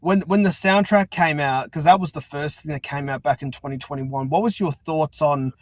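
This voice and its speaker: A man's voice: a little high, moderate pace, no echo, studio quality. A man hosting a casual chat-style interview, talking with effusive enthusiasm. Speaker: host interviewing a guest.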